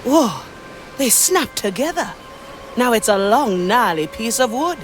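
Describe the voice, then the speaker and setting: An actress playing a bold, inquisitive young pirate navigator with a cheeky manner in a video game. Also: female voice, a little high, big pitch swings, a little slow, soft background music. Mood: intrigued. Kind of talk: video game character acting.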